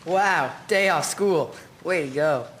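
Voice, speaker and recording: Low female voice as cheeky schoolboy. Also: slow pace, a little hiss or hum. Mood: cheeky, grumbling. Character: cheeky, work-shy 1950s schoolboy. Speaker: actor in an amateur history drama. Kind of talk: scripted amateur audio drama.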